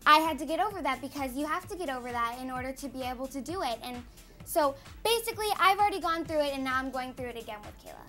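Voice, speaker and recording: A woman's voice: high-pitched, animated, fast, pushed and bright, soft background music. A teenage American girl chatting casually as an interview guest, bubbly and peppering her talk with "like". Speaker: interview guest, young actress. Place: small TV studio lounge. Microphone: lapel mic.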